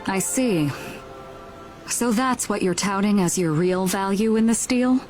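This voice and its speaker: An actress playing a composed, shrewd woman in authority in a video game drama, with a measured delivery. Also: medium pitch, darkish tone, faint music behind, natural voice. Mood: guarded.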